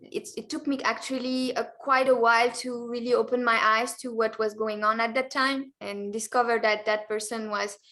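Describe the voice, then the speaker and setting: Hesitant higher-voiced woman guest. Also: steady tone, a bit bright. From Belgium. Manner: hesitant, many restarts and pauses. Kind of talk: interview answers.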